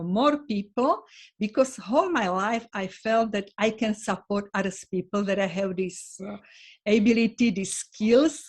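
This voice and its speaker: Slovak-accented woman guest, non-native English, sincere and a bit halting. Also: fairly high pitch. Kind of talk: online interview.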